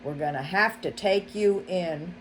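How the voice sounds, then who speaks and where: A woman voicing a male badger police officer who speaks in a brisk, official way in a storybook reading. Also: on the low side, a little hiss or hum, lightly acted.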